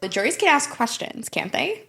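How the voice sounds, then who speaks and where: A woman with a fairly high voice chats as a podcast co-host. She is playful and informal, tossing in questions and jokes in loose, slangy banter. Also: big pitch swings, normal speed, vocal fry, sharp tone. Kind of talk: casual banter.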